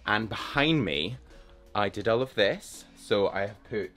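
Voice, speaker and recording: A man in his thirties giving a casual, self-mocking tour of his flat, talking to a handheld camera as he walks from room to room. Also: fairly low pitch, a little slow, faint music behind. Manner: chatty, self-mocking, enthusiastic. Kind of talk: casual walk-through chat. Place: rooms of a London flat, indoors. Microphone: handheld camera at arm's length.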